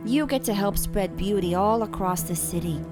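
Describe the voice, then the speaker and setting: A woman voicing a calm, murderous female gardener who speaks softly and without hurry, in an audio drama with music behind her. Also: mid-range voice, close to an everyday voice. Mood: cold menace. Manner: soft, unhurried, menacing.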